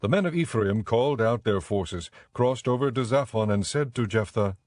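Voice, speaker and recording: A man giving scripted, measured and solemn narration for a dramatized audio Bible. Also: lowish voice, lively intonation, a little mellow, no room sound, studio quality. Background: background music.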